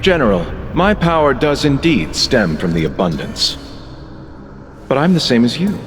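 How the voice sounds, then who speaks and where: A man's voice: medium pitch, very animated, moderate pace, background noise, close to an everyday voice. A man voicing a composed, enigmatic, defiant wanderer in a video game, formal and dramatic. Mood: composed defiance. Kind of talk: English video game voice acting. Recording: reverberant hall, loud game music behind.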